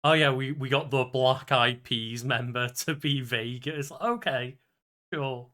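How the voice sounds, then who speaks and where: Bright-voiced man in casual podcast banter. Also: medium pitch, unhurried. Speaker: podcast co-host.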